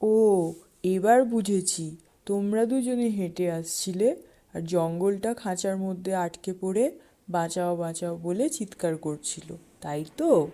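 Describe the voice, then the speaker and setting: Jackal uncle , played by a woman: wily, falsely puzzled, warm relaxed timbre, animated fable dialogue. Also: on the low side, slow. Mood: feigned confusion. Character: sly jackal uncle feigning slow-witted confusion.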